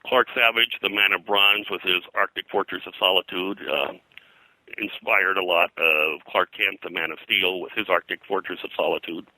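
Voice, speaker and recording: A man recounting history as an interview guest, in a halting, digressive, detail-heavy way. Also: low voice, steady tone, pushed and bright, dry recording, low-quality recording. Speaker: pop culture historian, guest.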